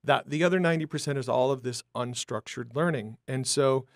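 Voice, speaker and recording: A man being interviewed as a startup founder, telling his story in a lively, talkative way. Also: medium pitch, brisk, no room sound, studio quality. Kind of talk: conversational interview.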